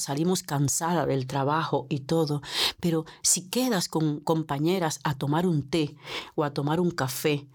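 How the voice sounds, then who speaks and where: A woman's voice: low-pitched, darkish tone. A middle-aged Dominican woman who organizes domestic workers, answering interview questions earnestly, often repeating words as she searches for them. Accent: Dominican Spanish. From Dominican Republic. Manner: earnest, repeats words while searching, acts out quoted dialogue.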